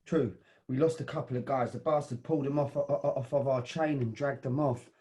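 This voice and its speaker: A man's voice: mid-range voice, fairly even intonation, a little fast, mellow, natural voice. An actor playing a rowdy football hooligan who swears and stammers as he tells the story. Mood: boastful. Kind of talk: satirical audio drama.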